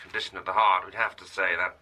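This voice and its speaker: Breezy, preoccupied male pathologist an actor's role, low voice, muttering through work amid loud clatter, radio drama. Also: steady tone, fast, close to an everyday voice. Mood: distracted, clinical. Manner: muttering, broken commentary while working. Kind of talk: naturalistic radio drama dialogue. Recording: very noisy room, clattering metal.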